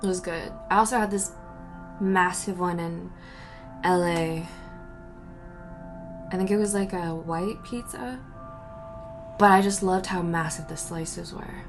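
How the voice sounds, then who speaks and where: A woman's voice: on the low side, unhurried, long pauses, faint music behind. A young woman answering viewer questions in a rambling, casual chat, breaking off mid-sentence and laughing between thoughts.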